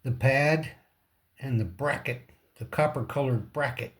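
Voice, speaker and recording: A man casually hosting a hands-on repair demonstration, talking in short, halting phrases as he thinks aloud. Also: mid-range voice. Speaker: repair tutorial host.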